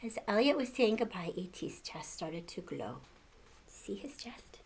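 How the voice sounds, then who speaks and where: A woman's voice: medium pitch, sing-song, measured pace, breathy edge. An older woman reading a storybook aloud to children, expressively, with exclamations and questions to the listener.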